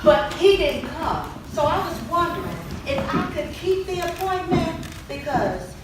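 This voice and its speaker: A woman's voice: very high-pitched, big pitch swings, measured pace, full character voice. A woman playing an earnest, flustered wife in a stage play, hesitant and polite. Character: earnest, flustered wife seeking marriage counselling. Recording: very noisy, echoey, distant mic.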